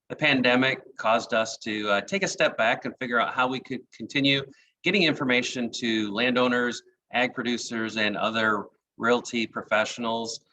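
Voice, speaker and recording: A man acting as program officer and host, giving a measured, formal opening to a town hall. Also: medium pitch, bright, forceful tone, slight background noise. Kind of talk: scripted-sounding presentation opening.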